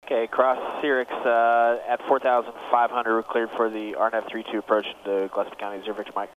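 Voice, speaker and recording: A man's voice: mid-range voice, level tone, brisk. A man speaking as a pilot, making clipped calls to air traffic control over the radio. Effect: aviation radio. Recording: tinny narrow radio audio, faint noise.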